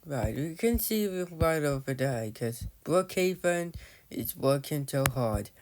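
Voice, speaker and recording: Man, slow-talking, slightly high voice, rambling game commentary, restarts often. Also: lively intonation, darkish tone. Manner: rambling, loses his thread, restarts often; often addresses viewers as "guys". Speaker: gamer narrating his play. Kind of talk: casual gameplay commentary.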